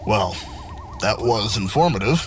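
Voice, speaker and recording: A man playing a gruff, commanding starship captain in an audio drama. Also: fairly low pitch, lively intonation, unhurried, background noise. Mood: weary. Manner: commanding, menacing.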